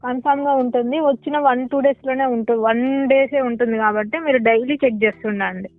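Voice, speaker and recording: A woman's voice: high-pitched, brisk, dry recording, soft background music. A woman with a Telugu accent, a helpline staffer giving brief, matter-of-fact answers on a recorded phone call. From India.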